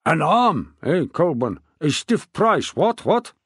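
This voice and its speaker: A man voicing an impatient, excitable older king who talks loudly and tags his lines with "what, what?". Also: slow pace, husky, no echo, clean studio sound, full character voice. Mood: hearty. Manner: booming, emphatic, tags "what, what?".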